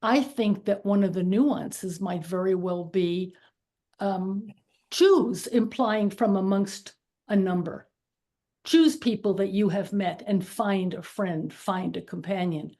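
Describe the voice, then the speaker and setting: A woman's voice: mid-range voice, takes it slowly, dark tone. A woman in an adult study class, sharing a careful, thoughtful comment. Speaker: class participant.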